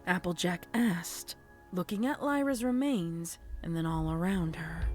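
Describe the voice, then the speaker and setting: A woman's voice: lowish voice, a little slow, a little mellow, soft background music. A woman reading a scripted horror story as a dramatic narrator.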